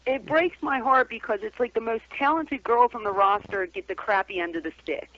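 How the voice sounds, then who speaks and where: A woman's voice: a little high, very animated, a little fast, gravelly. A woman calling in to a radio show over the phone, chatting casually and bluntly about wrestling as a co-host. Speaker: guest call-in co-host. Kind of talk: casual wrestling commentary chat. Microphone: telephone call-in. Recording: dull lo-fi phone-in radio audio.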